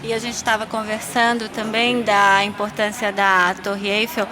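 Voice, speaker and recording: A woman with a Brazilian accent hosts the interview and puts her questions in a brisk, chatty way. Clear ambient noise sits behind her voice. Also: medium pitch, level tone. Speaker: podcast host. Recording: noisy background ambience.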